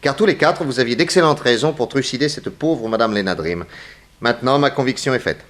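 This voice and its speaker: Commanding male detective, very animated delivery, acted by a man. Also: medium pitch, close to an everyday voice. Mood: accusing, commanding. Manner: theatrical, commanding, deliberate accusatory delivery. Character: shrewd, authoritative male detective confronting suspects. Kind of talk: 1960s French radio mystery acting.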